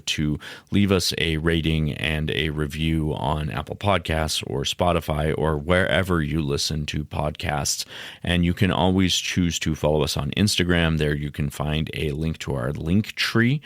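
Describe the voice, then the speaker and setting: A man hosting a solo educational podcast, explaining ideas in measured, partly scripted phrases. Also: very deep voice, no room sound, clean studio sound. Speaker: podcast host.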